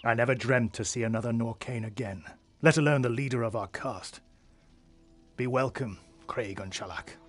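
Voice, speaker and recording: An actor playing a humble, loyal follower in a fantasy game, formal and deferential. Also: male voice, medium pitch, moderate pace, faint music behind, lightly acted. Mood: reverent.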